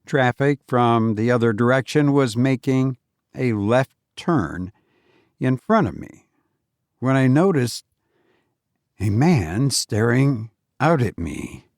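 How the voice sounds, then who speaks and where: A male podcast host talks slowly and informally, as if telling a story of his own. His delivery is halting and hesitant, with a mid-range pitch. The sound is close and dry. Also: expressive, darkish tone, dry recording. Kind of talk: informal podcast hosting and first-person storytelling.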